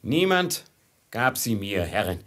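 An actor playing a weakened but bluntly honest merchant thief, halting and dry, in a 1950s radio play. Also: male voice, fairly low pitch, big pitch swings, fairly bright tone. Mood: blunt confession.

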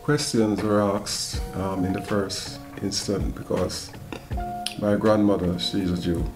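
An older man speaks slowly and softly as a podcast guest. His voice sits low. Music is clearly audible under the kitchen desk-mic recording. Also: little pitch movement. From Jamaica. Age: 70s. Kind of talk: interview conversation. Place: home kitchen. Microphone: desk mic on a boom arm.

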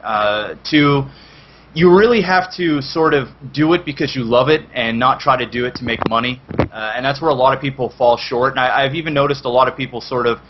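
A man's voice: medium pitch, fairly quick. A young man with a Canadian accent chatting casually as a guest on an online show. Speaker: guest, esports streamer. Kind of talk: casual chat. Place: indoor room on a video call. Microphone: headset. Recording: dull, noisy video call audio.

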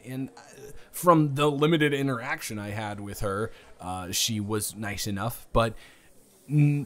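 A man's voice: mid-range voice, a bit bright. A young man improvising a first-person date roleplay, teasing and rambling with frequent restarts, recorded close on a home studio mic. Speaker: audio roleplay performer.

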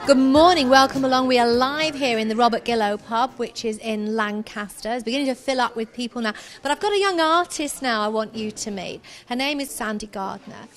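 A woman's voice: on the high side, brisk, hardly any pauses, faint music behind. A middle-aged woman presenting a live radio show from a pub, talking in a breezy, chatty way into a handheld mic. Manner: breezy, chatty, compliments the guest. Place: busy pub.

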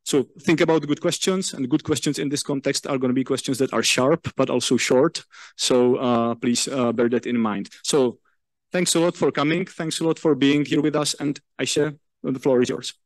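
A man's voice: medium pitch, steady tone, normal speed, no room sound. A man chairing an academic roundtable, setting out the speaking order and giving instructions in an organized way with some restarts. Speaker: panel chair. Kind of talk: introducing a roundtable. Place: large lecture hall.

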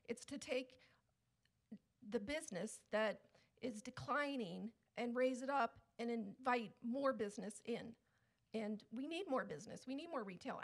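A woman addresses a public meeting from a prepared statement. Her voice sits fairly high with a noticeable creak, and she presses her points insistently. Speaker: resident speaking at public comment. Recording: public meeting room microphone.

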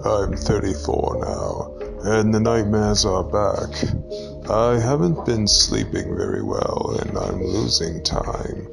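A man giving a dramatic scripted reading of a horror story, in a deliberate storytelling manner with pauses. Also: lowish voice, huge pitch swings, gravelly, music in the background.

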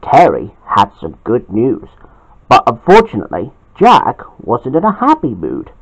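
An amateur storyteller and channel host reads at a slow pace, swinging widely in pitch. The delivery is halting, with drawn-out words and asides to the viewers. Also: huge pitch swings, slightly rough.

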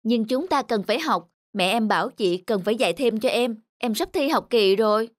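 A woman's voice: fairly high pitch, expressive, moderate pace, slight background noise, close to an everyday voice. A woman voicing a polite, conscientious young female student tutor in a cartoon, earnest and firm. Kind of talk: cartoon voice acting.

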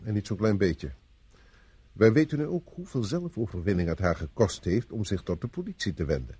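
An actor playing a self-assured police inspector in a radio play, with a commanding, probing, slightly condescending manner. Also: male voice, on the low side, expressive, a little fast, warm tone, slightly rough. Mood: brisk, thoughtful. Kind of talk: Dutch radio play acting.

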